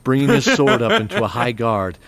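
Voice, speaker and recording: A man giving scripted, measured narration of a fantasy audio drama. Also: medium pitch, sing-song, very noisy. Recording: with loud music behind.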